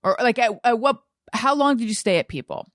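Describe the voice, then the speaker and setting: A middle-aged woman hosts a casual interview in a dry, close-sounding space. Her pitch moves around a lot as she chats. She is self-deprecating and tends to think out loud while forming her questions. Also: lively intonation, brisk, no echo. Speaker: podcast host and interviewer.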